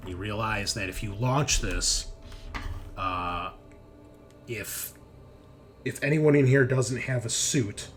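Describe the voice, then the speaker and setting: A man's voice: lowish voice, takes it slowly, long pauses. A man narrating a tabletop roleplaying game as game master, conversationally, often checking in with his players. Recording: noisy background ambience.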